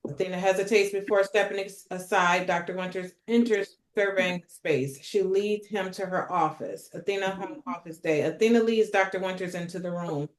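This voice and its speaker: A woman's voice: on the low side, normal speed, hardly any pauses. A woman narrator reading screenplay stage directions aloud. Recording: echoey room.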